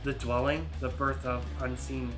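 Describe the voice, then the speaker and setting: A man's voice: mid-range voice, level tone, normal speed, over music. Rambling man in his thirties. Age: 30s. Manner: rambling, hesitant, many fillers and restarts. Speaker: YouTube host. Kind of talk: casual album ranking monologue.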